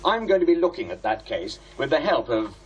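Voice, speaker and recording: British man, radio drama, defiant investigative presenter, sardonic, rough-edged voice, very animated intonation. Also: medium pitch, a little fast, poor audio. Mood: composed, pointed. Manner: sardonic, confrontational.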